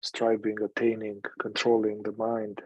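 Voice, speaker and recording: A man leading a guided meditation in a calm, unhurried manner, leaving long pauses between phrases. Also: fairly low pitch, dry recording, dull audio.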